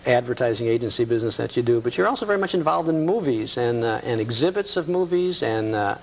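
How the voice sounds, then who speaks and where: A man hosting a television talk show in a conversational way, sometimes halting as he searches for words. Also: medium pitch, expressive, a little hiss or hum.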